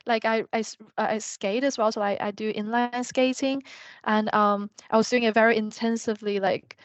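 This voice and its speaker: A woman's voice: on the high side, steady tone, moderate pace, dry recording. A young woman from China, a Mandarin speaker, talking in English as a podcast guest. She rambles and fills her sentences with "like" and "um". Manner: rambling, heavy use of "like" and "um". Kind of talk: casual podcast interview.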